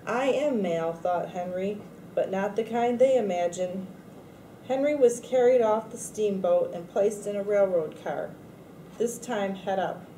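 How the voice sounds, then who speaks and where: Slow mellow lady reading a storybook out loud, echoey room. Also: mid-range voice, far from the mic. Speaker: storybook reader at a read-aloud event. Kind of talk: scripted picture-book read-aloud. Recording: echoey room, faint background noise.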